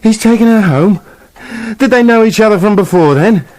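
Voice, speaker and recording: A man's voice: sits high, lively intonation, moderate pace, darkish tone. An actor playing a talking wolf in a radio play, a plain-speaking, aggrieved family man who questions and argues colloquially. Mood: incredulous.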